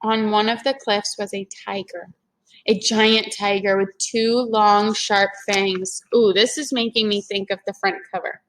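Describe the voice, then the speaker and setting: A woman's voice: mid-range voice, little pitch movement, a little slow, fairly bright tone, rough recording. A woman reading a children's story aloud in a teacherly way, explaining things to young listeners. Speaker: teacher-like read-aloud reader.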